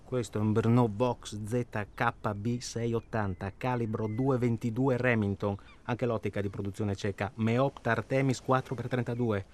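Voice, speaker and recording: A man's voice: mid-range voice, fairly even intonation, moderate pace, few pauses, lightly acted. An actor playing a stubborn, touchy paying client in a radio drama, insistent and defensive in his way of talking. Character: stubborn, fussy male paying client, touchy amateur shooter. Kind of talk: naturalistic Italian radio drama acting.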